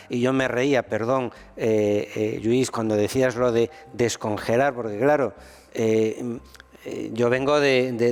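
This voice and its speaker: Hesitant man in a hall. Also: medium pitch. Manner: hesitant, many restarts and fillers. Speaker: theologian guest. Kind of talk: panel discussion.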